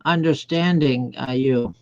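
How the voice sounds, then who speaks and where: A man's voice: mid-range voice, unhurried, darkish tone. An older man chatting casually on an online group call, speaking hesitantly and repeating himself. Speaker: chat participant. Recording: dull online call audio.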